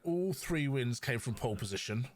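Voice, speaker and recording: A man with a mid-pitched voice co-hosts a casual motorsport podcast. He explains things and gives his opinions in a conversational style. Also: lively intonation, moderate pace. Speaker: podcast co-host.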